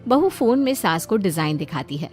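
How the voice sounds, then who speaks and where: A woman narrating a family story in an expressive, dramatized storytelling style. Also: medium pitch, normal speed, soft background music.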